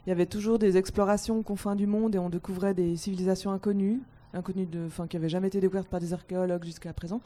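A woman on a panel telling a story from her childhood in a lively way, repeating words as she goes. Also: medium pitch, rapid-fire, few pauses. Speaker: panel guest. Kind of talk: personal anecdote.

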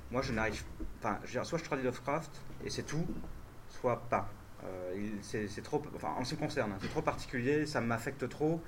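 A man's voice: medium pitch, fairly bright tone, slight background noise. A man translator speaking on a festival panel, answering audience questions with many restarts and fillers. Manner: hesitant, restarts often, thinks aloud.

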